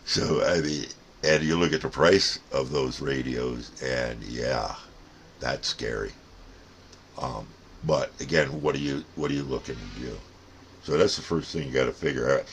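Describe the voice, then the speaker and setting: A man's voice: extremely low pitch, unhurried, fairly bright tone, slight background noise. An older man giving a casual, rambling, folksy explanation as a plain-spoken hobbyist host. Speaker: radio hobbyist and channel host.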